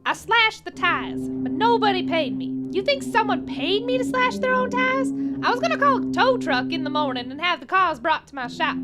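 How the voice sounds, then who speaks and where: A woman's voice: very high voice, big pitch swings, moderate pace, few pauses. A woman playing a brash, wisecracking, crooked mechanic in a comic audio mystery. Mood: brash, defensive. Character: shady, brash female mechanic with a crooked streak. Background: loud music.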